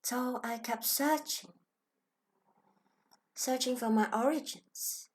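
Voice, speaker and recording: A woman from Hong Kong with a Chinese accent, talking gently and thoughtfully as host of her own channel, sometimes dropping into a soft whisper. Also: on the high side, slow pace. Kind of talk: reflective personal talk.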